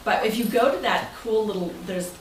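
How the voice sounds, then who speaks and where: A woman's voice: mid-range voice, brisk. A woman giving an informal, chatty club presentation as its president, speaking conversationally to members. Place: indoor meeting room with members present. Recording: roomy, distant mic, noisy meeting room.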